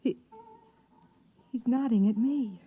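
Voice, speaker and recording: Anxious wife, slightly high voice. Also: female voice, slow, narrow, tinny audio. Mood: frightened. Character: anxious young wife fearing pursuit, easily panicked. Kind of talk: 1950s radio drama acting. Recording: old radio recording, faint music and crowd.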